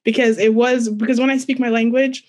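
A woman's voice: fairly high pitch, level tone, fairly quick, a little mellow. Young woman from Nigeria, light Nigerian accent, panelist on a video call, hesitant and searching for words. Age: young adult. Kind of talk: personal story on a panel.

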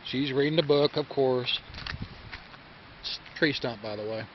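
A man's voice: medium pitch, measured pace. A man casually narrating a home video of his forest campsite, explaining things step by step for viewers. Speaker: camper filming a vlog. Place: outdoor forest campground by a river. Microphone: handheld camera mic. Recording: dull home-video audio with faint outdoor hiss.

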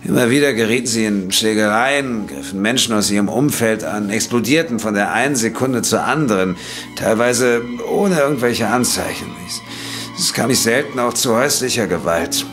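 A man acting a troubled doctor in a detective radio play. His voice is on the low side, and he speaks in careful, formal sentences with small hesitations, earnest and quick to defend his honour. Music plays behind him. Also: a bit bright, close to an everyday voice. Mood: grave, explaining. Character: troubled male doctor, earnest, indignant when accused. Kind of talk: German audio drama acting.

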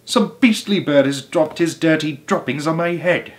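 A man voicing a nasty, panicky old woman who screams and shrieks in a high, frantic voice. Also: moderate pace, lightly acted. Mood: shrill disgust.

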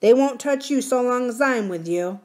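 A woman plays a twelve-year-old miner boy in a storybook. He is cheerful and self-assured, speaking in plain, matter-of-fact words with a lively, swinging pitch. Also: moderate pace, lightly acted. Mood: confident.

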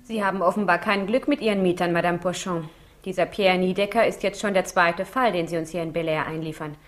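A woman's voice: fairly low pitch, fairly even intonation, a little fast, soft background music, natural voice. An actress in a 1960s radio crime drama playing a composed, concerned young woman psychiatrist with a polite, questioning manner. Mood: professional, inquiring.

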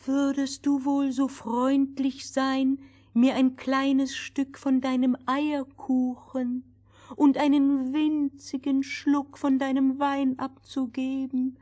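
A tiny grey man from a fairy tale, played by a woman storyteller, begs politely. The voice is pitched high and moves slowly, wheedling as it goes. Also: little pitch movement, mellow. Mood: pleading.

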